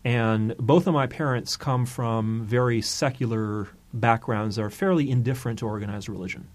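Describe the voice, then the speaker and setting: A man answers questions as an interview guest. He speaks at a middle pitch in a measured, plain manner. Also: steady tone.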